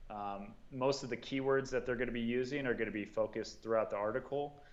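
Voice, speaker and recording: A man at an ordinary middle pitch, talking through advice in a relaxed, easygoing way and leaning on "um" and "you know" as he goes. His audio sounds a little dull and muffled, like a remote call. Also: fairly quick. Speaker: guest, marketing and sales coordinator. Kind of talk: casual podcast discussion.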